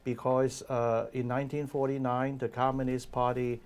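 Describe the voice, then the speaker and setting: A man around seventy, from Hong Kong, explaining history in a measured way with many fillers in a TV studio interview. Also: medium pitch, little pitch movement, a little mellow. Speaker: guest commentator. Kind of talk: casual interview talk.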